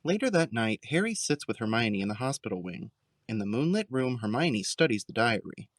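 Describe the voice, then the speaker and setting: A male narrator reads scripted audio drama scenes at a medium pitch. The sound is dry, with no room around the voice. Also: big pitch swings, fairly quick, dry recording.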